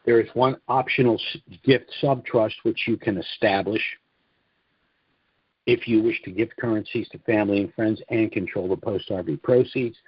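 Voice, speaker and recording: A man giving a scripted sales presentation on a conference call in a measured, explanatory manner, with muffled, roomy audio. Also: lowish voice, slightly rough. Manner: measured, explanatory, asks and answers own questions.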